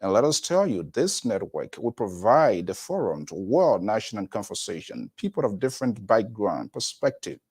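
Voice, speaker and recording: Male talk host, lively pitch, rambling live delivery. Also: normal speed, hardly any pauses, breathy edge. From Liberia. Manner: rambling, animated delivery with restarts. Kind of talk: live panel show hosting.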